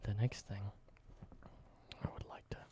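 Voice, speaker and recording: A man in a whispered ASMR roleplay, softly explaining everyday objects slowly and simply. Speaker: ASMR creator.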